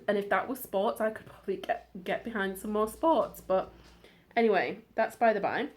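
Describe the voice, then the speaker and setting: A woman from the United Kingdom, a book vlogger in casual chat, talking through her finds in a chatty, rambling way full of side notes and fillers. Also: mid-range voice.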